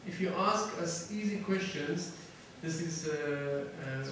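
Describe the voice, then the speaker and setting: A man's voice: on the high side, a bit bright, far from the mic. Middle-aged man from South Africa lecturing an audience, hesitant with many fillers, in a reverberant hall with faint noise. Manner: halting, many fillers and restarts. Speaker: defense attaché giving a lecture.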